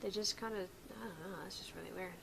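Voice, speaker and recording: A woman's voice: medium pitch, steady tone, fast talker, gravelly, fairly bright tone, husky. A woman co-hosting a gaming stream, chatting casually with teasing, slangy banter.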